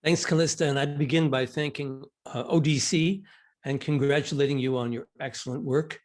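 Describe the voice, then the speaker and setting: An older man from Canada, a law professor, introducing himself and presenting on a panel in a measured, deliberate way. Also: mid-range voice, fairly even intonation, darkish tone.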